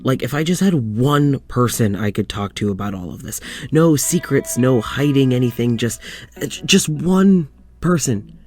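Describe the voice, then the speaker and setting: An actor playing a lonely, weary doctor in an audio drama, confiding in a halting, sighing way. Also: male voice, mid-range voice, lively intonation, a little mellow, lightly acted. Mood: hurt, lonely. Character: lonely, weary young doctor venting to his demon dog.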